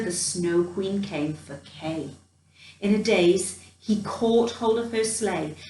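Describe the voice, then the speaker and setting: A woman reading a storybook to children in a theatrical, expressive way, adding chatty asides. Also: medium pitch. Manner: theatrical, dramatic emphasis, chatty asides to young listeners. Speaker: children's storyteller in character as a Christmas elf. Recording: roomy echo, distant from the mic.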